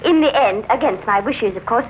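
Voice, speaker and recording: An actress playing a scheming, evasive wife who feigns innocence in a 1950s radio crime drama, hesitant and theatrical. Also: female voice, fairly high pitch, animated, brisk, lightly acted. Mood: feigned sorrow. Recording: dull, noisy old radio recording.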